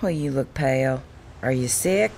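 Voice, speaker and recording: An actress playing a caring, plain-spoken young wife in a radio drama. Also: female voice, a bass voice, expressive, moderate pace, darkish tone, exaggerated voice. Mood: concerned.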